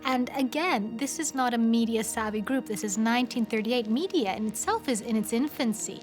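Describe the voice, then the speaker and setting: A woman giving media commentary in an interview, with halting, conversational phrasing. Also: fairly high pitch, soft background music.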